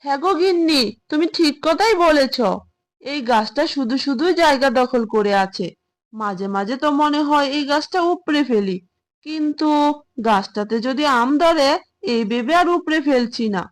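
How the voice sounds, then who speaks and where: A woman's voice: high voice, expressive. A woman voicing a boastful, greedy cartoon tiger husband. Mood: grumbling. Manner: boastful, exclaiming, with drawn-out laughs. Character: gloating, greedy adult male tiger farmer, scornful husband. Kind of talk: Bangla cartoon fable voice acting.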